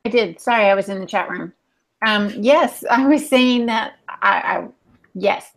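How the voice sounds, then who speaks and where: Woman, average pitch, podcast co-host, conversational, measured, explanatory. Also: vocal fry. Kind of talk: casual podcast discussion.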